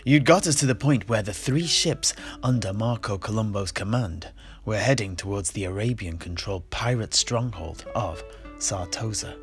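A man playing a genial old fantasy tavern keeper and storyteller, with courteous, old-fashioned, measured phrasing. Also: fairly low pitch, close to an everyday voice. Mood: courteous, storytelling. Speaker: host. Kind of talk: in-character fantasy roleplay dialogue. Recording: music behind the voice.

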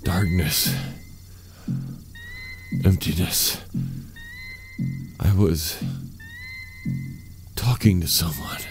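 An actor playing a dazed, disoriented man who speaks in halting fragments in a sci-fi audio drama. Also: low-pitched, soft background music.

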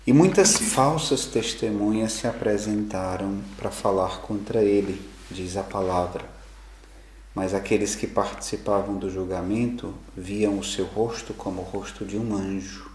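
Deep-voiced priest preaching in an echoey room. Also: male voice, fairly even intonation, a little mellow. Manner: measured, reflective preaching with slow emphatic pauses. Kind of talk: homily. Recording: echoey room, faint background noise.

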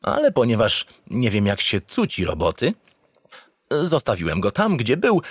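An actor playing a naive, self-satisfied, doting spaceship captain who narrates a comic radio drama in an earnest, theatrical, pompous way. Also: male voice, mid-range voice, huge pitch swings, a little slow, slightly rough, no echo, studio quality, heavily acted. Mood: excited, earnest.

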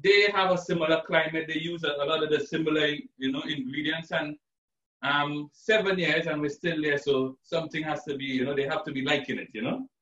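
Man from Trinidad, chef, chatty and relaxed, higher voice, roomy kitchen sound from far off, dull lo-fi. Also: brisk. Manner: relaxed chatty explainer, many fillers. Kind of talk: live cooking demo. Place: home kitchen indoors. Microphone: far camera, video call.

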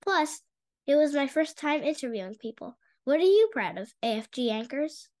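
A young teenage girl reading a scripted news intro as an anchor over a webcam, in a bright, eager way. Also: sits high, big pitch swings, normal speed.

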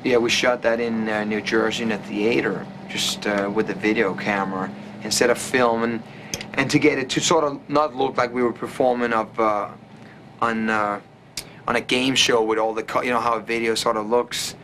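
A man's voice: mid-range voice, fairly even intonation, normal speed, fairly bright tone, slight background noise. A young man from Denmark, speaking as a rock singer in a casual interview, rambling with many fillers and restarts.

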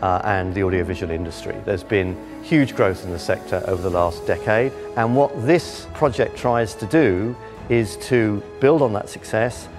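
A man with a lowish voice speaks confidently in an interview. Clear music plays behind him. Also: normal speed.

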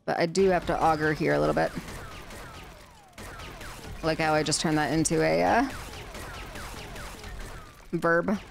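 A woman's voice: deep voice, fairly even intonation, measured pace, gravelly, pauses often, slight background noise. Woman streamer thinking aloud, slightly worn voice. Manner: chatty, talks to herself while building. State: voice a little worn. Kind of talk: casual gameplay commentary.

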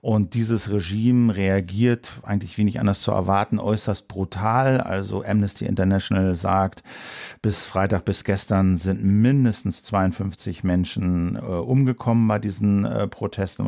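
A man co-hosting a political podcast, speaking in a low, gravelly register with a darker, mellow tone. His delivery is brisk and conversational, with the odd filler. The sound is dry and close. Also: no echo. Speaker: podcast co-host, journalist.

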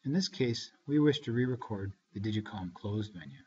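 A man narrating a step-by-step software tutorial in a measured, instructional way. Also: on the low side, lively intonation. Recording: dull, lo-fi screencast voice-over.

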